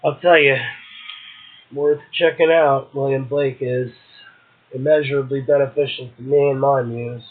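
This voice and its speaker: Man, medium pitch, unhurried poetry reader and host, casual commentary, tinny narrow phone-like recording. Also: steady tone. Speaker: poetry show host and poet. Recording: muffled, narrow phone-like sound.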